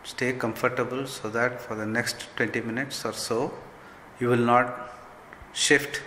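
A man leading a guided meditation as a spiritual teacher, giving gentle instructions in short, measured phrases. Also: mid-range voice, fairly even intonation. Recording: long hall reverb, faint background noise.

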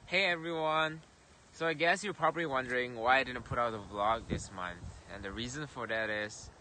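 A man's voice: mid-range voice, moderate pace, rough recording. A young man giving a spoken announcement to camera out in an open field. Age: young adult. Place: outdoors, open grassy field. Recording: loud outdoor wind and rustling noise.

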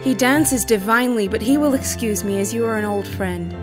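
A woman's voice: on the high side, brisk, music in the background, close to an everyday voice. An actress playing a poised, proud young lady of period society, composed, dry and proper, in an audio drama.

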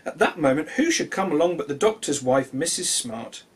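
A man reads to young children with plenty of expression. His voice sits fairly high and moves up and down freely. It has a husky edge, and his delivery is simple and gentle. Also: normal speed. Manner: animated, simple and gentle address to young listeners. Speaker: children's storyteller and host. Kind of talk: expressive read-aloud children's storytelling.

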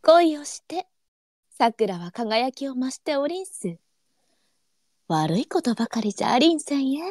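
A woman playing a gentle, teasing senior courtesan, an indulgent big sister with elegant old brothel speech. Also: sits high, sing-song, measured pace, no echo, studio quality.

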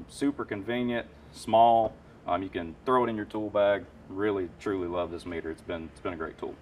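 Guy in his 30s explaining hvac tools, kinda low voice, a bit off mic in a warehouse, faint hum. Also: fairly even intonation, moderate pace, a little mellow, recorded from a distance. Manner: enthusiastic, practical, lots of fillers. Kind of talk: casual shop talk, explaining. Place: warehouse parts room with shelves.

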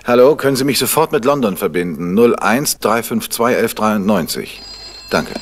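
An actor playing a suave, polite crime novelist and amateur detective, smooth and understated, in a German radio play. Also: male voice, on the low side, lively intonation, moderate pace. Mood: affable. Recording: faintly noisy vintage radio play recording.

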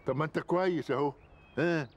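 An actor playing a domineering Egyptian landowner in a TV drama, his slightly raised voice fast and cutting as he barks orders. A faint background noise sits under him. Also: a little high, close to an everyday voice. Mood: imperious. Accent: Egyptian Arabic. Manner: imperious, contemptuous, threatening. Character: haughty rich landowner man, harsh and domineering. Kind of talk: TV drama acting.

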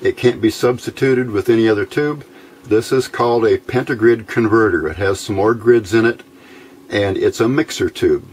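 A man giving an informal, unscripted hands-on tutorial in a plain-spoken, halting way, recorded indoors at a workbench on a camera mic. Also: on the low side, slightly rough. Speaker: host of a hands-on electronics tutorial.

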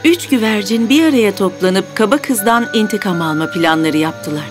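A woman's voice: mid-range voice, animated, normal speed. A woman narrating a scripted fairy tale as the storyteller. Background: background music.

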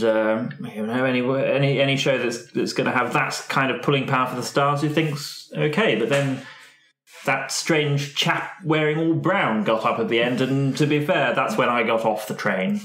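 A man's voice: mid-range voice, steady tone, normal speed, fairly bright tone, natural voice. A man voicing a stuffy, name-dropping theatre judge in a hesitant, old-fashioned manner for a tabletop game. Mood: disdainful, rambling. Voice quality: hesitant, stammering delivery. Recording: roomy echo.

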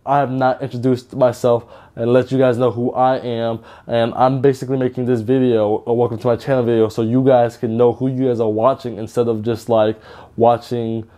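A man's voice: mid-range voice, flat delivery, brisk, mellow. A man in his twenties from Georgia, introducing his channel to camera in an upbeat, chatty way, using slang and repeating himself. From Georgia, USA. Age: 20s. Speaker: YouTuber. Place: bedroom with lit shelves, indoors.

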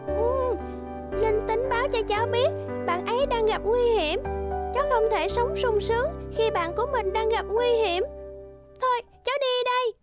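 A woman's voice: very high-pitched, moderate pace, over loud music. A woman voicing an earnest, anxious little girl in a children's cartoon, plaintive and pleading.

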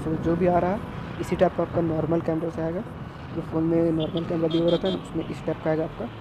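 A man's voice: a little high, monotone, fast. A young man from India narrating a casual moto vlog from a scooter in traffic, recorded on a phone on his helmet mount. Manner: rambling, repeats himself, chatty. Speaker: moto vlogger. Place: outdoors on a scooter in road traffic. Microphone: phone on helmet chin mount.

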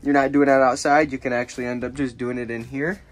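A young man giving a relaxed, plainspoken walkaround tour of a small camping trailer, filmed on a handheld phone outdoors and then inside. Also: medium pitch, quick pace. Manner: relaxed, plainspoken, pointing things out as he goes.

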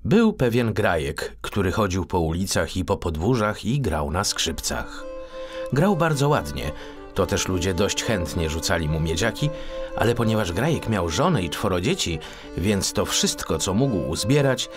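Low-voiced, animated male storyteller. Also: normal speed, music in the background. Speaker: audiobook narrator. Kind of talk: expressive children's story narration.

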